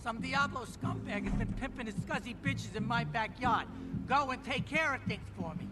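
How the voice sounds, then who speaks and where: An actor playing a sleazy, middle-aged American mob club boss in a game cutscene, giving bossy orders in streetwise slang. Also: male voice, very high-pitched, moderate pace. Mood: scornful, bossy. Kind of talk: video game cutscene acting. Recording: game audio with loud music behind.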